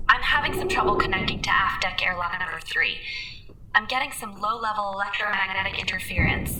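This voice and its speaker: A woman's voice: medium pitch, background noise, lightly acted. Woman playing an anxious, distant station computer. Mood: flustered, hesitant. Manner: technical, apologetic, hesitant. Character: female station computer, polite, anxious, fallible. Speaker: ship's artificial intelligence. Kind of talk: science fiction audio drama acting.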